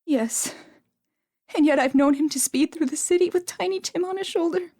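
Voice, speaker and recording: A woman's voice: high-pitched, moderate pace. A tender, grieving mother. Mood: sorrowful. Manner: gentle, restrained. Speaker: Mrs. Cratchit.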